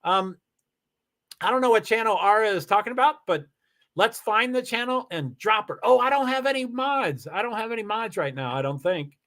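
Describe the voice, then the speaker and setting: A man with a somewhat high voice chats loosely to a live-stream audience. He rambles, restarts his sentences and throws in lots of exclamations. His energy stays animated throughout. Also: normal speed. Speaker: live streamer reading chat. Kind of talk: casual live-stream chat. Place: home in Washington State.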